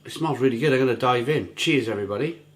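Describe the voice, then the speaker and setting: A middle-aged man with a gruff, slightly rough throat talks casually to camera as he reviews a beer. He is enthusiastic and savoring, often repeating words as he describes the taste. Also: medium pitch, quick pace. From England. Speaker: beer reviewer, channel host.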